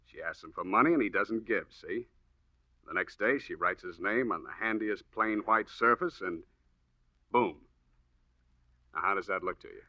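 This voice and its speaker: An actor playing a blunt, sardonic, skeptical police detective in 1940s radio style. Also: male voice, low voice, normal speed, long pauses, sharp tone, dry recording. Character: skeptical, hard-boiled male police detective. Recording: dull, boxy old radio recording.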